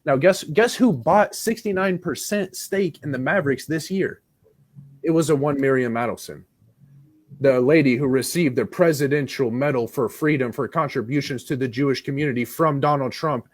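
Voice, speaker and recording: A man arguing in a live debate as a panelist, blunt and insistent, with frequent swearing. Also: mid-range voice.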